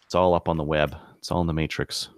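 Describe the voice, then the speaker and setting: A man's voice: rumbling low, flat delivery, fast talker, dry recording, clean studio sound. A man co-hosting a podcast, chatting casually. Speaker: podcast co-host. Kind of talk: casual chat.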